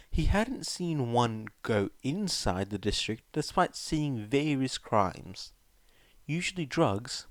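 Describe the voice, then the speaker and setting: A man's voice: medium pitch, no room sound. A man reading a story aloud as an audiobook narrator, in scripted narration.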